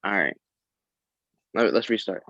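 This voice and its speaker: A man's voice: lowish voice, measured pace, fairly bright tone, no echo. Man reading script lines, dull dry audio. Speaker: script reader and prompter. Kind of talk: table read, reading lines and coaching. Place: video call table read.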